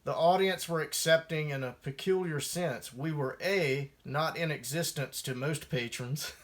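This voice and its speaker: Higher-voiced man reading his memoir. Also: a little slow. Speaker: musician and author reading own memoir. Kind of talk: first-person memoir narration, read aloud.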